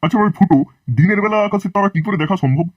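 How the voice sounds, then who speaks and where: Cartoon role: a sly teacher played by a man; quick, sugary, muffled lo-fi recording. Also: high-pitched, a little hiss or hum, lightly acted. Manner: sweet-talking, mock-kind. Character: sly schoolteacher who hands out beatings. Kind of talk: cartoon voice acting.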